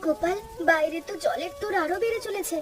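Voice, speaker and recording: Cartoon wife, sickly and worried, woman performer high pitch, brisk delivery. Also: music in the background. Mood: plaintive. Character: ailing, fretful young village wife. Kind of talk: Bengali cartoon story dialogue.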